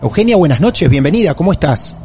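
A man's voice: a little high, very animated, quick pace, warm tone, slight background noise. A man with an Argentine accent hosting a paranormal podcast, talking in a theatrical, welcoming way and reassuring his caller. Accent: Argentine Spanish. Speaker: podcast host.

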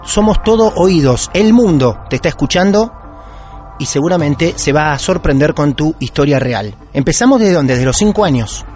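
A man's voice: a little high, soft background music. A man with an Argentine Spanish accent hosting a casual paranormal podcast and interviewing a guest. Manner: lively, drawn-out welcoming delivery. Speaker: podcast host.

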